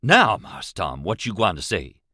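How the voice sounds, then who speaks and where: A man's voice: low-pitched, wildly swooping pitch, a little slow, bright, forceful tone, breathy edge, no echo, studio quality, full character voice. A man voicing a frightened, superstitious and stubborn grown man in an audiobook, with a Southern US accent and earnest, emphatic dialect speech. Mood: excited. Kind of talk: audiobook character voice.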